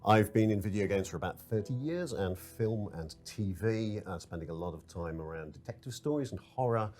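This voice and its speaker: A man's voice: on the low side, expressive, brisk, darkish tone, a little hiss or hum. A middle-aged man giving an informal promotional presentation as a game developer, recorded indoors. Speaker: game narrative director, interview guest. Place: furnished lounge set indoors.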